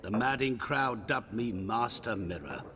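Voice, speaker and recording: An actor playing a sly wandering merchant in a fantasy game, florid and courteous, ingratiating and teasing. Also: male voice, fairly low pitch, very animated, a little slow. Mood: theatrical, ingratiating. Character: sly, humble-seeming wandering male merchant, theatrical and courteous. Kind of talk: fantasy video game acting. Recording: game dialogue with clear background noise.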